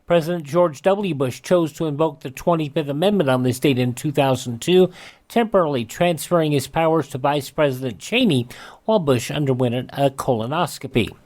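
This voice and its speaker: A man's voice: on the high side, a little fast, a little mellow. American man hosting a radio show. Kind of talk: scripted almanac narration of historical dates.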